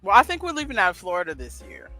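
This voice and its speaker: A man's voice: high voice, huge pitch swings, very fast, pushed and bright, soft background music. A man co-hosting a livestream in casual, conversational chat. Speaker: livestream co-host.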